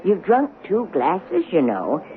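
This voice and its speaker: An actress playing a sly, sweet-talking old church lady in her eighties in a radio drama, genteel, coy and gently teasing. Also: on the low side, wildly swooping pitch, slow pace, exaggerated voice. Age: 80s. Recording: muffled, noisy 1970s radio broadcast.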